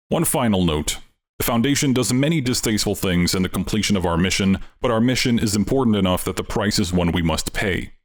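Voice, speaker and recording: A man reading a formal letter aloud in a measured, grave manner. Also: deep voice.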